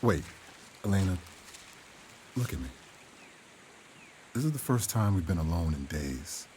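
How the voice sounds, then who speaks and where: An actor playing a gentle, forthright castaway man in love, with a measured and sincere delivery, in a period audio drama. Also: low-pitched, lively intonation, slight background noise. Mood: tender, earnest.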